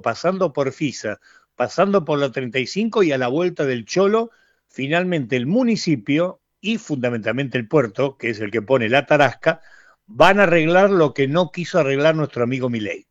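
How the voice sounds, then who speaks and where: A man's voice: a little high, no echo, highs cut off. A man with an Argentine accent hosting live radio in a casual, chatty way that often wanders off on asides. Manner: chatty, digressive. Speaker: radio host. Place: radio studio.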